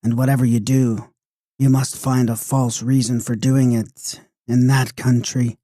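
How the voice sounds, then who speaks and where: A man's voice: mid-range voice, flat delivery, a little slow, mellow, no echo, studio quality, close to an everyday voice. A man voicing a mysterious old lady guide who speaks in a repetitive sing-song.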